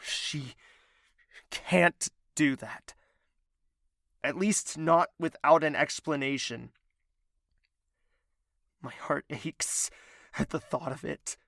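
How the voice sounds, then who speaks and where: A man's voice: fairly high pitch, very slow, gravelly, long pauses, a bit bright, no echo, studio quality, exaggerated voice. A man playing a lovesick, stubborn young prince in an audio drama, earnest, formal and melodramatic. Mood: anguished, wounded.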